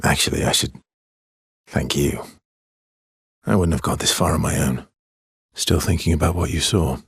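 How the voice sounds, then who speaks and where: An actor voicing a weary, gentle male adventurer in a video game, with a husky, breathy voice and measured, understated phrasing. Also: very deep voice, no room sound, studio quality, full character voice. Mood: quiet, reflective. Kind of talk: video game dialogue lines.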